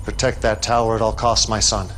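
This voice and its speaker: An actor playing a commanding, calmly menacing cult leader in a video game cutscene, speaking with solemn, grandiose deliberation. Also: male voice, on the low side, fairly quick, slight background noise, natural voice. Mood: solemn approval.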